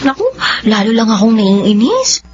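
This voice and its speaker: An actress playing a friendly, candid young woman in a Filipino radio drama, with an expressive conversational delivery. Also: a little high, moderate pace. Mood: respectful, exasperated. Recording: dull lo-fi audio with background noise.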